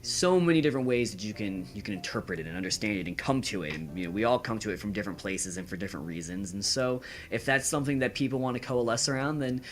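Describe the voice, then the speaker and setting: A young man answering casually as a podcast guest, earnest and halting, repeating words. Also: fairly low pitch, animated, faint music behind. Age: young adult.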